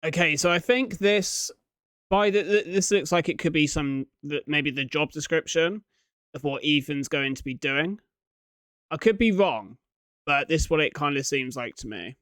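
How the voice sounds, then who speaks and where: A man hosting a reaction video, commenting casually and thinking aloud in a halting way. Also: on the high side, fairly bright tone, clear, resonant tone, no room sound, studio quality.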